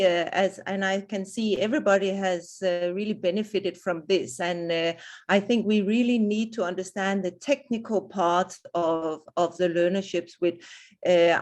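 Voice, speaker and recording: A woman's voice: fairly low pitch, a little mellow. A woman presenting at an online webinar, speaking hesitantly with many restarts and fillers. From not South African. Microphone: video call.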